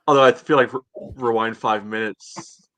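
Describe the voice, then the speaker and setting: A man's voice: medium pitch, steady tone, moderate pace, fairly bright tone. A man co-hosting a podcast, chatting casually as a sports fan.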